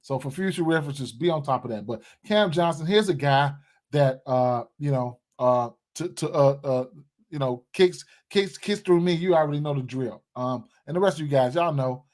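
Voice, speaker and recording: Young male streamer, slightly raised pitch, loose slangy chat with many fillers, talking to a desk mic indoors. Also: moderate pace, a little mellow. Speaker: sports betting livestream host. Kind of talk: casual livestream commentary, reading chat. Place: indoor room.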